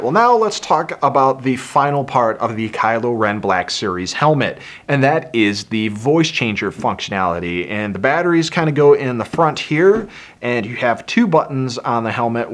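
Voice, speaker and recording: Male host, medium pitch, casual product-review delivery, easygoing and explanatory. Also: animated, normal speed, a bit bright. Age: adult. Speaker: video host reviewing a toy helmet.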